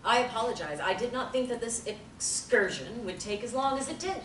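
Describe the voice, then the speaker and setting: Actress reading formal cool therapist, mid pitch, distant and roomy, steady hiss. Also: female voice, natural voice. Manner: formal, measured, avoids contractions. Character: composed, evasive, faintly sinister woman therapist. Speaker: table-read actor. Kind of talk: script table reading.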